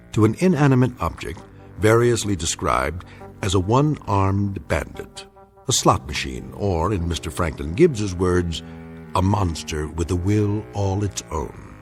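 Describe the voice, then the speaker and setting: An actor playing a solemn, wry narrator, delivering measured scripted narration for a radio drama. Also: male voice, sits low.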